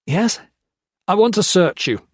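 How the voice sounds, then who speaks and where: A man doing a shrill, barking vindictive officer. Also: expressive, measured pace, breathy edge, dry recording, clean studio sound, heavily acted. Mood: angry, barking. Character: angry, edgy British officer, male, vindictive.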